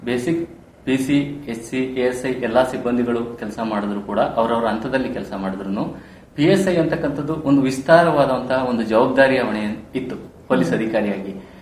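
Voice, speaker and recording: A man's voice: mid-range voice, moderate pace, a little mellow. A man in his thirties recounts his life as a police trainee on a podcast, with a Karnataka Kannada accent. His mid-range voice is earnest and formally worded, with English terms dropped in. The room echoes, with faint noise behind him. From Karnataka, India. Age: 30s. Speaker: interview guest, police sub-inspector probationer. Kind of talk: podcast interview, personal storytelling. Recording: roomy echo, faint background noise.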